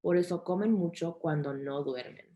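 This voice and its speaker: Woman, lowish pitch, mellow dark tone, interpreter, addressing families and children. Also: a little fast. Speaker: Spanish interpreter for children's program.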